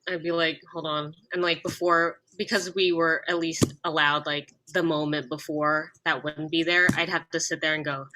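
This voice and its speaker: A woman actor recalling her work on a panel, chatty and lively over a video call. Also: sits low, poor audio. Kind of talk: panel discussion answers.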